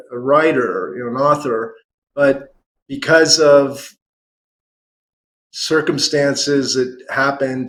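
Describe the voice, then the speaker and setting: A man's voice: medium pitch, a bit bright, low-quality recording. A man from San Francisco, a guest author in a casual interview, speaking thoughtfully with small restarts. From San Francisco, USA.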